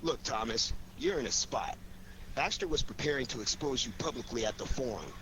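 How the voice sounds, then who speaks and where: A wry, self-assured detective hero from a radio play , acted by a man. His voice sits at an ordinary male pitch, probing and shrewd, with clear noise on the recording. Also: animated, a little fast, lightly acted. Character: cool, wry, shrewd male amateur sleuth. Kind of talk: radio drama acting.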